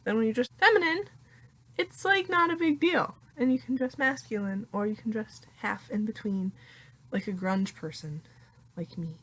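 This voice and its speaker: A young adult woman with a deeper voice talking casually in a confessional vlog, full of "like" fillers and laughing often. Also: fairly bright tone, rough recording. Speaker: vlogger.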